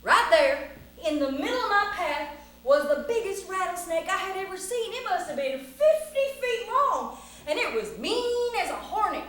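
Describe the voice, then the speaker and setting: Plucky boastful cowboy acted by a woman, folksy Western drawl, bright and swooping, for a staged children's tall tale. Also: extremely high pitch, very animated, moderate pace, pitched above the performer's own voice, heavily acted. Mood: dramatic. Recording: very echoey room, faint noise.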